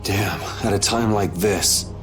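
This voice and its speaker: A man's voice: on the low side, slow, faint music behind. A man playing a blunt, determined young adventurer in a video game, casual and direct. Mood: concerned.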